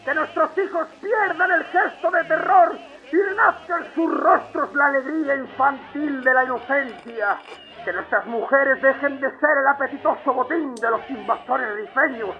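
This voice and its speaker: An actor playing a solemn, defiant Spanish Republican orator giving a declamatory 1930s radio speech. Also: male voice, extremely high pitch, moderate pace, lo-fi sound. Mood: solemn, pleading. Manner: declamatory, rhetorical. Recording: band-limited old radio sound with music.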